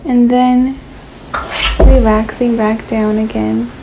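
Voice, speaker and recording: A woman leading a guided relaxation meditation in a soothing, unhurried manner, leaving long pauses between short phrases. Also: fairly high pitch, little pitch movement, vocal fry, noisy background.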